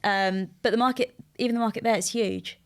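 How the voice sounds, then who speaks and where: A woman's voice: medium pitch, steady tone, a little fast. A young woman from the UK talking casually as a podcast guest, chatty and full of "like" and "you know". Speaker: recruitment firm co-founder, podcast guest. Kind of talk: casual interview chat.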